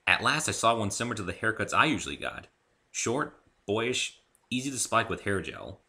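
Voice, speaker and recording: Bright, expressive man reading a story. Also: fairly low pitch, normal speed, creaky. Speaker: amateur reader of own fan fiction. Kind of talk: dramatic story narration.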